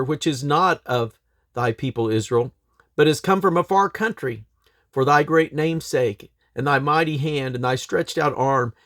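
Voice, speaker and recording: A man reads aloud from the King James Bible in a solemn, measured, declamatory way, phrase by phrase. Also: on the high side, no echo, studio quality. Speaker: scripture reader and host.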